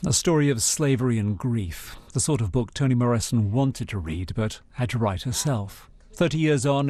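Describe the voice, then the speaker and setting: A man reporting for the news, reading a scripted voice-over in an even, deliberate way. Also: mid-range voice, creaky.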